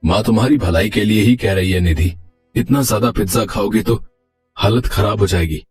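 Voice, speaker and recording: A man's voice: fairly low pitch, normal speed, darkish tone, slightly rough, soft background music. A man voicing an angry, reproachful young husband in a children's moral story. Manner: stern, reproachful. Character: angry young husband defending his mother.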